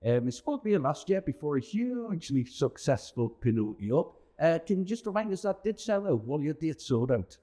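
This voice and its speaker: Mellow man interviewing. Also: fairly high pitch, sing-song, moderate pace, few pauses. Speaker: host interviewer for a town TV channel. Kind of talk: on-location interview.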